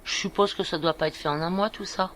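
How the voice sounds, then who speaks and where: A woman playing a brisk, encouraging and slightly bossy companion to a blind girl in an amateur audio drama. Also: fairly low pitch, fairly even intonation, fairly bright tone, close to an everyday voice. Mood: polite, interested. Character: brisk, upbeat woman looking after a blind teenage girl. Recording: clear background noise.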